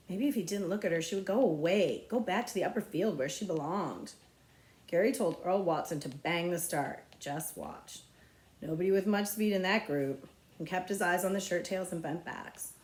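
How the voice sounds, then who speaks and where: A woman narrating a children's novel aloud as a librarian would, with expressive storytelling. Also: on the low side, normal speed. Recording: echoey, roomy sound.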